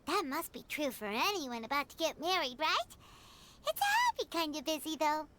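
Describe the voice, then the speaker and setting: Squeaky, childlike little game companion. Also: female voice, sing-song, normal speed, pushed and bright, slight background noise, heavily acted. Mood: curious, puzzled. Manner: speaks of herself in third person, inquisitive. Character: small childlike female floating companion, chirpy and naive. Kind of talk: video game character acting.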